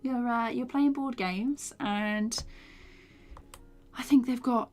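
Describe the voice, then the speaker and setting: A woman's voice: fairly high pitch, takes it slowly, soft background music. Chatty young woman, tired voice. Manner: chatty, laughs often, trails off into soft mumbles while working. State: voice tired from talking all day. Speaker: animation streamer. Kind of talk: casual chat while animating on stream. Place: small home room, indoors. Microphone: desk microphone with foam cover.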